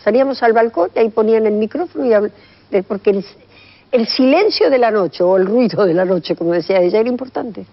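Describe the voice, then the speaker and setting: A woman's voice: on the high side, animated, quick pace, background noise. An older woman with an Argentine accent reminiscing in an interview, enthusiastic and repeating phrases for emphasis. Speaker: radio veteran recalling her career. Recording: dull lo-fi sound.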